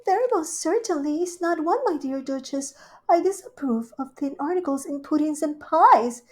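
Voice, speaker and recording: Woman doing a prim high-voiced cat hostess. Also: expressive, normal speed, a little mellow. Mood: prim, polite.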